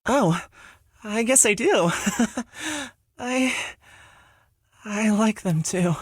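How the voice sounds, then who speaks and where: A woman plays a shy young male cashier in a visual novel. He is timid and friendly, speaking slowly and hesitantly. The recording is very dry. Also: fairly high pitch, expressive, husky, dry recording, exaggerated voice. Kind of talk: visual novel character acting.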